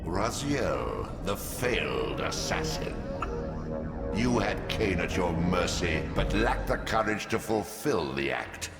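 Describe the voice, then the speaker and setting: An actor playing an arrogant, menacing ancient worm god who speaks in grandiose declarations. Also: male voice, low-pitched, big pitch swings, takes it slowly, gravelly, heavily acted. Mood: scornful. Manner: grandiose, commanding. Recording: cavernous echoing reverb over loud music.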